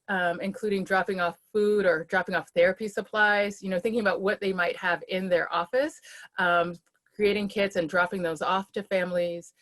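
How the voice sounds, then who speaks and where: A woman speaking on a webinar video call as a school-based behavioral health leader, earnest and steady, with frequent ums and restarts. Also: medium pitch. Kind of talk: webinar panel talk.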